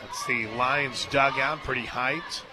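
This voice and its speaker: A man doing live radio play-by-play commentary of a softball game as the announcer. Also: medium pitch, steady tone, slow pace. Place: outdoor softball stadium radio booth. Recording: stadium crowd noise and music behind. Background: crowd and music.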